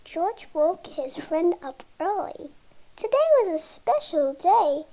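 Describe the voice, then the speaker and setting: A female reader reading a children's picture book aloud, halting word by word in short phrases. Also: extremely high pitch, lively intonation, dark tone. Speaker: storybook reader.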